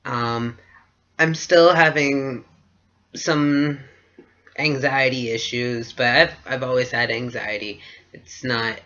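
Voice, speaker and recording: A man's voice: mid-range voice, fairly even intonation, takes it slowly, bright, forceful tone. A young man talking through a personal video diary in a hesitant, reflective way. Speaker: vlogger. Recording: dull lo-fi recording.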